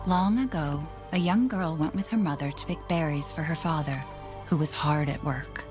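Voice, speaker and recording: An actress voicing a solemn storyteller who narrates a dark fairy tale in a game. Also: female voice, deep voice, normal speed, music in the background, lightly acted.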